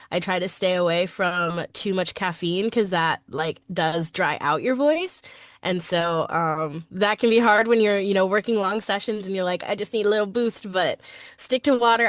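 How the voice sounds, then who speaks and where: A woman's voice: mid-range voice, no room sound. A woman voice actor chats as a podcast guest, upbeat and easygoing, sprinkling in ums and likes. Her voice sits in a middle register. The sound is dry and close, with no room behind it. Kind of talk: casual podcast interview.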